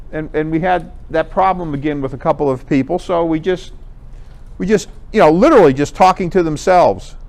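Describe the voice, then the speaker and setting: An American man giving an informal presentation, explaining things conversationally and pausing to rephrase. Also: on the high side, creaky, darkish tone, clean tone. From Connecticut, United States. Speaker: amateur radio contest organizer, presenter. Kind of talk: informal club presentation with slides.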